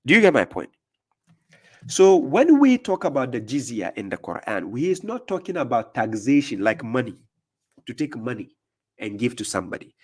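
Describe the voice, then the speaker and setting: A man with a Ghanaian accent teaches scripture in an informal, emphatic way. He repeats phrases and keeps asking listeners whether they follow. His voice sits at an ordinary pitch for a man. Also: big pitch swings, moderate pace, darkish tone, breathy edge. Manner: emphatic, repeats phrases, often asks "do you get my point?". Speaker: Islamic preacher.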